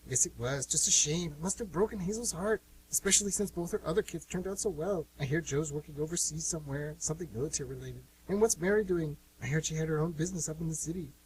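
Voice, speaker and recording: A man voicing gossipy, disapproving women in mocking falsetto for a radio play, recorded away from the microphone. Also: fast talker, few pauses, darkish tone, lightly acted. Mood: gossipy, pitying. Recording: distant mic with light hiss and hum.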